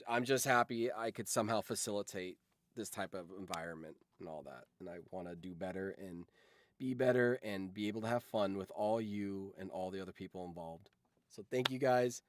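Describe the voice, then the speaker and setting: A man's voice: fairly low pitch, normal speed. A man hosting a livestream in casual chat, rambling and swearing freely as he talks. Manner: rambling, profane. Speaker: livestream host.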